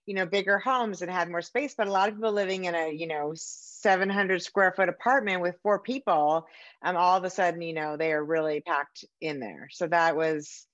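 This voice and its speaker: A woman's voice: lowish voice, normal speed, a bit bright, no echo. A middle-aged American woman chatting casually as an interview host on a video call. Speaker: podcast host and author. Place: indoor room at home, video call. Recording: slightly dull video-call audio.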